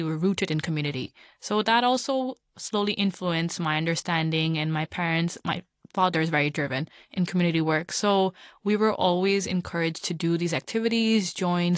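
A woman's voice: fairly low pitch, no echo, clean studio sound. A young woman from Hong Kong speaking as an interview guest, giving a conversational, reflective account of her own life.

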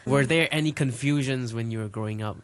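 A man's voice: mid-range voice, brisk. Man co-hosting a podcast game. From Hong Kong. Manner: reads game prompts, curious follow-up questions. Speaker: podcast co-host. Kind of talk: casual podcast interviewing.

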